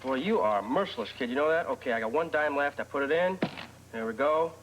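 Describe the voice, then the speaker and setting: A man's voice: fairly high pitch, lively intonation, fairly quick, a bit bright, a little hiss or hum, low-quality recording. An actor playing a friendly, sheepish man in a television soap, with casual, sometimes stammering delivery. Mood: playful. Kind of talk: television soap opera acting.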